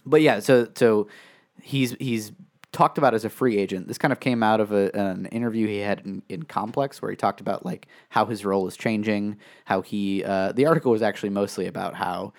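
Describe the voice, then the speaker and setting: A man's voice: fairly low pitch, fairly even intonation, a little fast, vocal fry. A man co-hosting a casual wrestling podcast, rambling and restarting his sentences, with frequent chuckles. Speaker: podcast co-host.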